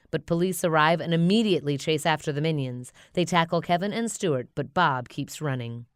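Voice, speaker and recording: A woman reads a children's story aloud with expression. Her voice is fairly low, smooth and crisply articulated, in a dry, close recording. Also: no echo. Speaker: storybook narrator.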